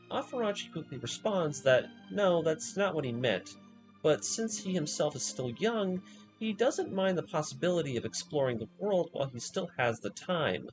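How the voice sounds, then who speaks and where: Male narrator, scripted video essay style, animated intonation, dramatic emphatic pauses, clear background music. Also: a little high, low-quality recording. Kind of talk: scripted narration, story recap with commentary.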